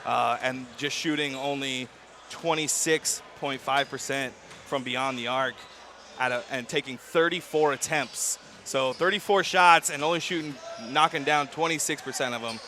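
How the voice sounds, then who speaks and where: A man's voice: mid-range voice, normal speed, bright, forceful tone, a little hiss or hum. A man commentating a college pregame show in a gymnasium, hesitant, with lots of fillers and restarts. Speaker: sports commentator.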